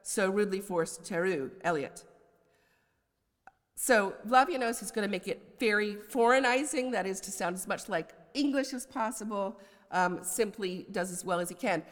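A woman's voice: mid-range voice, normal speed. A woman with an American accent giving an academic poetry lecture, scholarly but with conversational asides. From United States. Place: large indoor lecture hall. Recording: reverberant lecture hall.

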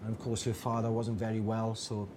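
A man's voice: on the low side, completely flat delivery, fairly quick. Reflective man, restarting often, noisy recording. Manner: reflective, with many restarts and fillers. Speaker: digital storytelling workshop leader. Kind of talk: informal talk to an audience.

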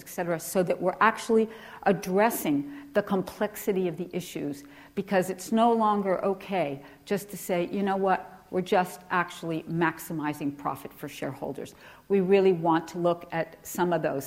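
A woman's voice: mid-range voice, a little mellow. A middle-aged woman from Ecuador with an American accent, giving a conversational lecture to a class with frequent fillers and restarts. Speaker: guest lecturer.

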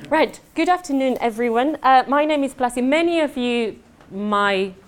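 A woman from southern Spain with a Spanish accent, giving a fast, chatty, joking networking presentation to a room. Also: sits high, clear, resonant tone. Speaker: networking speaker. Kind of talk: lively conference presentation. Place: conference room. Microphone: mic at a talk.

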